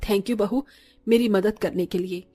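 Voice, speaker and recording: A woman's voice: medium pitch, unhurried, warm tone, husky. A woman playing a middle-aged village mother-in-law in a moral story, plain-spoken and given to complaining. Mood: softened, grateful. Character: grumbling short village mother-in-law, slowly softening. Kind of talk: scripted Hindi moral story voice acting.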